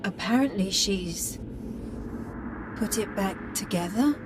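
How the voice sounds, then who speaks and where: An actress voicing a calm, polite female AI assistant with a formal, courteous manner in video game dialogue. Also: mid-range voice, slow, background noise, natural voice. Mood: uneasy. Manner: formal, courteous, addresses him as sir. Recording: with game music behind.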